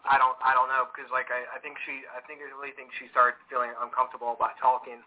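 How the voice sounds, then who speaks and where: A man joining a casual talk show, speaking haltingly with many restarts. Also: mid-range voice, little pitch movement. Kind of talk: casual podcast chat. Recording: tinny phone line with faint hiss.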